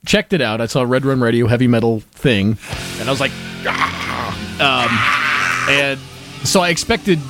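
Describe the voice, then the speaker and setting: A man's voice: medium pitch, measured pace, long pauses, over loud music. A man hosting a podcast, chatting and teasing his guests with frequent laughter. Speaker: podcast host. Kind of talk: casual podcast chat.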